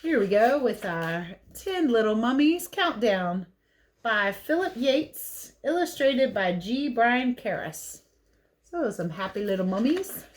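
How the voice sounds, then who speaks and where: Expressive woman reading aloud to children. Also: on the high side, takes it slowly. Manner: expressive, chatty, explains as she goes. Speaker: teacher reading picture books aloud. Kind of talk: read-aloud for children with casual asides.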